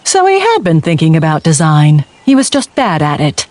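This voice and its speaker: Wry, lively woman narrator. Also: low-pitched, moderate pace. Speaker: audiobook narrator. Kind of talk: first-person audiobook narration.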